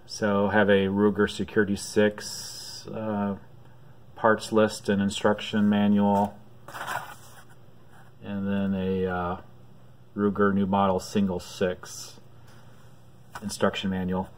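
A man talks through his latest finds from behind a handheld camera, drawling along slowly with frequent "uh" pauses. His voice sits on the low side and barely rises or falls. The feel is relaxed and homemade. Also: slight background noise. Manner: unhurried, many "uh" pauses, low-key. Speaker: vlogger showing thrift and auction finds. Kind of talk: casual off-camera show-and-tell. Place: home room at a desk.

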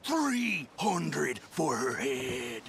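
An actor playing a captured outlaw leader in a western video game, a sly braggart who wheedles and taunts insolently. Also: male voice, medium pitch, big pitch swings, very slow, gravelly, fairly bright tone, slight background noise, exaggerated voice. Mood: sly bargaining. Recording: music behind the voice.

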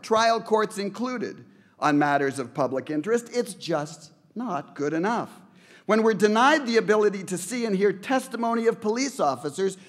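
A middle-aged man from Canada giving an earnest acceptance speech at a podium in a large banquet hall, stressing his points with repeated phrases. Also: high-pitched. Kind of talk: prepared speech read at a podium. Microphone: podium microphones.

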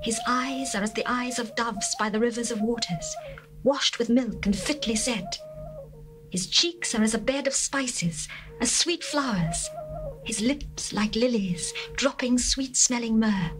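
An actress playing a longing young bride, reading scripture in an earnest, heartfelt way over faint music. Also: female voice, fairly high pitch, measured pace, lightly acted, low-quality recording.

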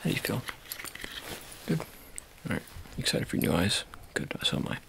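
A man in his thirties doing an ASMR surgeon roleplay, talking softly and offhandedly, often slipping into whispers, close to the mic in a small dark room. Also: normal speed, background noise. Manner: soft, rambling and offhand, drifting into whispers. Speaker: ASMR creator playing a surgeon. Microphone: close-up camera held near the face.

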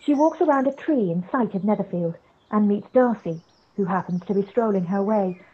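A woman's voice: medium pitch, lively intonation, fairly quick, slightly rough. A woman reading scripted narration for a radio play over a video call. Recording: dull video-call audio.

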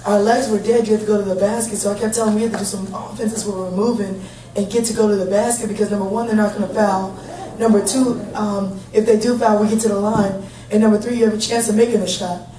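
A woman coach in her thirties speaks steadily and plainly at a post-game press conference. Her voice is mellow and her pitch stays fairly level. The sound comes from a distance, with a roomy echo and a faint hum behind it. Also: fast. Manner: steady, plain-spoken, upbeat. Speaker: head basketball coach. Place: large conference room. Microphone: table mic, heard from a distant camera. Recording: echoey room, distant mic, faint hum.

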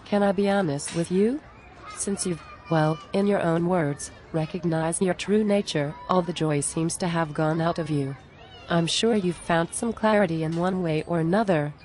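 A woman's voice: lowish voice, darkish tone, natural voice. A woman playing a down-to-earth, exasperated friend in a scripted cartoon dialogue, plain-spoken and conversational.

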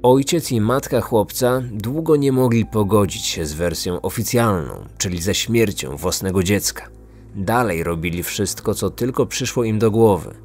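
Low-voiced male true-crime narrator. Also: normal speed, a little mellow. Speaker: true-crime podcast host. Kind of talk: scripted documentary narration. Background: music.

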